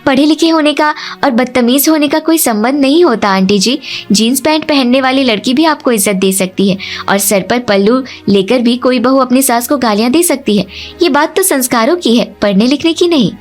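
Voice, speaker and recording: Young daughter-in-law, cartoon drama female reader: raised pitch, polite, insistent, faint music. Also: moderate pace. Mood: firm persuading.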